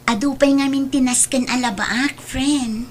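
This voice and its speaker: A woman acts a considerate young Ilocano friend in an audio drama. Her voice is very high, and her manner is gentle and apologetic. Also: fairly even intonation, a little slow. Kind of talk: amateur radio-style Ilocano audio drama.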